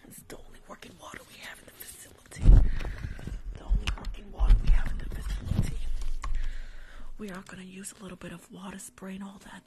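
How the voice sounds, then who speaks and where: Young woman, whispery, crackly vocal fry, close-mic ASMR roleplay, sassy, scolding, repetitive short phrases. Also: mid-range voice. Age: young adult. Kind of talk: whispered ASMR roleplay as a rude, careless dental worker. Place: small room at home, indoors. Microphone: large tabletop microphone, very close.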